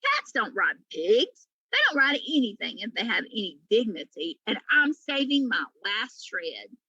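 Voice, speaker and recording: Woman reading as a haughty, bright-voiced female cat. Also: mid-range voice, big pitch swings, unhurried, husky, dry recording, highs cut off, full character voice. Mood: haughty, sarcastic. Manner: haughty, clipped, snappish. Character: proud, prickly female cat, sarcastic and dignified.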